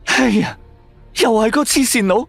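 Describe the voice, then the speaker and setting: Man voicing a high, whiny exasperated wife. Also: moderate pace, creaky, no room sound, faint music behind. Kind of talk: Cantonese radio horror drama.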